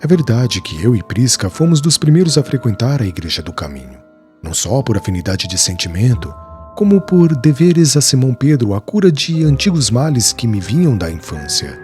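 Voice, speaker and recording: Man doing an earnest weaver in an audiobook, solemn and measured, low and lively in pitch, soft music underneath. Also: natural voice. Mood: grave. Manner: solemn, measured storytelling of past suffering. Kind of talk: audiobook character dialogue. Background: faint background music.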